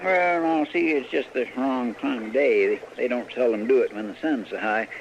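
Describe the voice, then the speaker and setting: A man's voice: medium pitch, moderate pace, close to an everyday voice. An actor playing a good-natured, rambling frontier man with a rural American drawl in a radio western. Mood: mildly scolding. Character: folksy good-natured frontier man, tall-tale teller. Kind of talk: old-time radio western acting. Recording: dull vintage radio recording, faint hiss.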